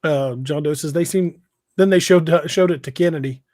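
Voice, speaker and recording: A man casually hosting a livestream, reading out comments and chatting in a halting, hesitant way. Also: a little high, a little mellow, no echo, clean studio sound. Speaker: podcast host and novelist. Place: indoors on an internet livestream video call.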